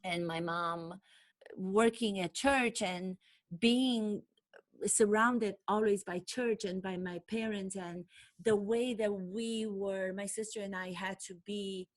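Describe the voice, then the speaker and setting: A woman from Romania whose first language is Romanian, an actor telling a personal story to camera in an animated way, acting out her own nervous thoughts. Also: medium pitch, slow pace.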